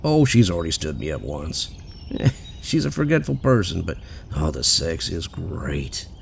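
A smug, crude cop acted out by a man in an audio drama, cocky and offhand. His voice is low and creaky with lively pitch swings, over faint outdoor ambience. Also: measured pace, slight background noise, heavily acted. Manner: casual, cocky, brushing people off. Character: smug, crude, dismissive male police detective.

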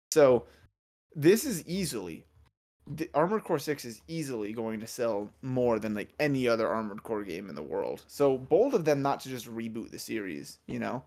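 A man's voice: medium pitch, a little mellow. Male co-host, casual gaming podcast; jokey, profane, rambling delivery. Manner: joking, swears freely, rambling with fillers.

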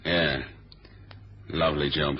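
An actor playing a laconic, wry British secret agent with a London accent in a 1970s radio spy drama. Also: male voice, a bass voice, slow, slightly rough, exaggerated voice. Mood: wry, deadpan. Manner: deadpan, informal. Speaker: actor and first-person narrator. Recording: dull old radio recording.

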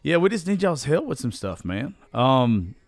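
A man's voice: fairly high pitch, big pitch swings, normal speed, no room sound. A man with a Southern US accent hosts a podcast solo in a casual, rambling, folksy and profane way, his voice strained from recently losing it. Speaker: podcast host.

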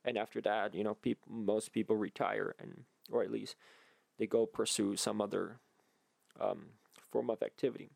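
A man hosting a solo podcast, talking casually and reflectively in an earnest, halting way as he thinks aloud. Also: fairly low pitch, fairly even intonation, vocal fry, a little mellow, no echo. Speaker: podcast host.

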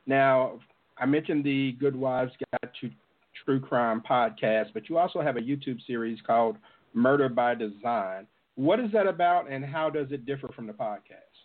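A man's voice: medium pitch, normal speed. A man hosting an interview, asking questions in a conversational style. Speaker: interview host. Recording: narrow tinny phone line.

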